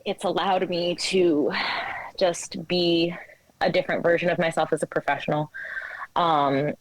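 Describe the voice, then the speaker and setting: A woman in her late twenties talking candidly and haltingly as a guest in a casual interview, with many filler words. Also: on the low side, little pitch movement, no room sound, rough recording. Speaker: interview guest, coffee shop manager. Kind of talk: casual conversational interview talk.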